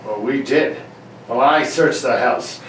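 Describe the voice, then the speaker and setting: A man's voice: medium pitch, takes it slowly, close to an everyday voice. A man playing a brusque, impatient police inspector in an amateur 1940s-style radio play, barking questions and orders. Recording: echoey room, distant mic, faint noise.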